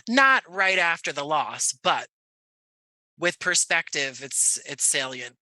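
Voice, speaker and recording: An American man talking conversationally as an interview guest, choosing his words in an articulate, measured way. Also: a little high, animated, bright, forceful tone, no room sound. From Jersey City, United States. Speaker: podcast guest, audio play creator.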